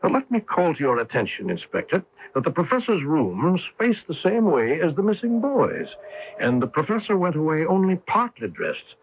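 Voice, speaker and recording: A dull, lo-fi AM radio recording of a shrewd detective in a mystery drama. His slightly high, husky voice puts questions politely and deliberately, with a probing, courteous manner. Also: big pitch swings. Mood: assured, teasing. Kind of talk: old-time radio mystery drama acting.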